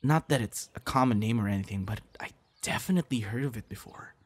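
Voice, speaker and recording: A man playing a friendly, shy young budding voice actor chatting casually with a stranger in an ASMR roleplay, hesitant and softly teasing. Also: fairly low pitch, fast talker, lightly acted. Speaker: audio roleplay performer. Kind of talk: ASMR roleplay, casual one-sided conversation.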